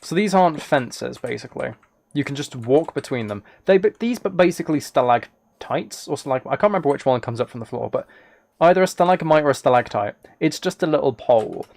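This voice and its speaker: A man's voice: mid-range voice, animated, brisk, creaky, a little mellow. Man chatting casually on a live game stream. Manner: rambling, thinking aloud, chatting with viewers. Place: indoors at a computer, keyboard clicks behind.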